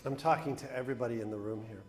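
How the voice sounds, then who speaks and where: A man with a big voice, a mental health educator giving a lively talk to an event crowd on a handheld mic. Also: rapid-fire, roomy sound. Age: young adult. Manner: loud, booming, engaging the crowd. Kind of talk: motivational talk to an audience. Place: event hall. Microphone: handheld microphone.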